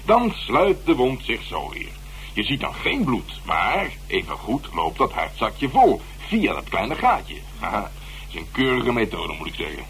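An actor in a Dutch radio drama playing a wry, casual official who explains medical findings, hesitating over the jargon. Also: male voice, mid-range voice, huge pitch swings, unhurried, vocal fry, fairly bright tone, heavily acted. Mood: wry, explanatory. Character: male official reading a coroner's report, casually knowing, wry. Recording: noisy, humming old radio recording.

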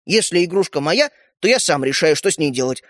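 Male reader as confused, mildly stubborn storybook boy; halting, unsure delivery, dry sound. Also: on the high side, lively intonation, a bit bright, no room sound. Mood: defensive. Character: bewildered, hesitant schoolboy, mild but stubborn.

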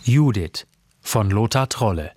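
A man's voice: fairly low pitch, animated, unhurried, a little mellow, no room sound. A man reading cast credits as a formal radio announcer, close to a studio microphone.

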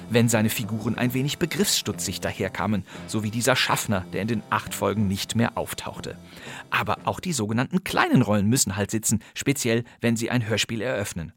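A man presents a scripted podcast in a medium-pitched voice. He slips in conversational, dryly humorous asides. The recording is dry, with no room sound. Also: fairly bright tone, no room sound. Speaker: podcast host. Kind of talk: scripted narration about old radio crime plays.

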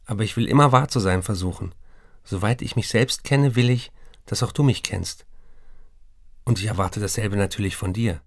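Low, creaky confessional writer. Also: male voice, normal speed, no echo. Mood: confiding. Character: dreamy, confessional male writer addicted to morphine. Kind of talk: literary radio play monologue. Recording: studio voice with music behind. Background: music.

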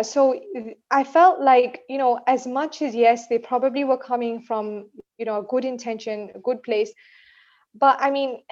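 Young female podcast co-host, bright-ish voice, casual storyteller, lo-fi dull recording. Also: sits high. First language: not Urdu. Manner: chatty, many fillers and "you know what I mean".

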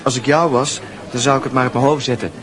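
A man's voice: mid-range voice, fairly even intonation, fast, natural voice. A male actor in a Dutch radio drama playing a brash, chatty young man, blunt and breezy. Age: young adult. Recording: music and crowd of dance hall behind.

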